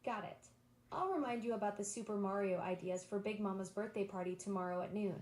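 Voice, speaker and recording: A woman's voice: mid-range voice, fast, a little mellow, reverberant, rough recording. A synthetic female voice assistant talking in a bubbly, enthusiastic, eager-to-please way. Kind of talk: upbeat synthetic assistant chat.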